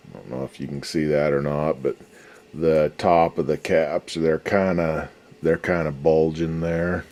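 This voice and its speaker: A man's voice: extremely low pitch, little pitch movement, measured pace, a little mellow. A man restoring an old radio at his workbench, giving rambling, folksy commentary with a lot of swearing. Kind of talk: casual workshop commentary.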